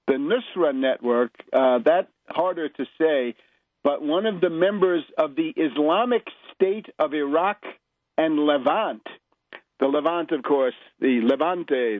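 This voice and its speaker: A man giving political commentary as a radio host, sardonic and emphatic, halting now and then for rhetorical asides. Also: fairly high pitch, dry recording, narrow, tinny audio. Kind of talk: political news commentary, partly improvised.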